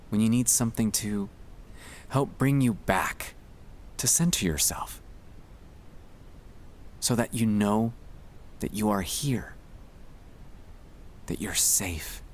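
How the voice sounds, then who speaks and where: A man speaking softly and soothingly, often slipping toward a whisper, as he gently guides breathing in a sleep-aid ASMR style. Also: on the low side, a little hiss or hum. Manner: soft-spoken, soothing, often dropping into whispers. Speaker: ASMR creator guiding breathing exercises.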